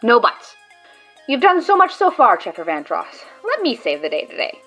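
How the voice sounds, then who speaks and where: A woman's voice: a little high, huge pitch swings, normal speed, a bit bright, soft background music, heavily acted, poor audio. A woman playing a brash, teasing and loyal friend who reassures and boasts. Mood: reassuring. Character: Rizara, confident loyal friend and card gamer. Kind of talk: fan audio drama acting.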